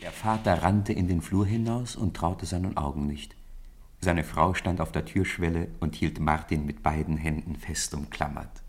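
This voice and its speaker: Man narrating a radio play, very deep, gravelly, husky, measured delivery. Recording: 1950s radio studio recording.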